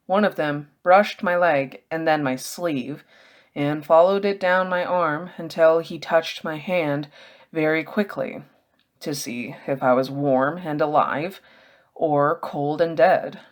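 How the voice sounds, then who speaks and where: A woman narrating a novel aloud in a measured, expressive storytelling manner. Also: sits low. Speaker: audiobook reader. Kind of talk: scripted fiction narration, reading a novel aloud.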